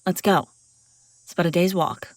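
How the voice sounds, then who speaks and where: A woman's voice: on the low side, steady tone, normal speed, no echo, natural voice. A woman playing a haughty, wry young princess on the run in an acted fantasy audio roleplay, bantering with exasperated sarcasm. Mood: teasing, exasperated. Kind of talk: acted audio roleplay, one-sided dialogue.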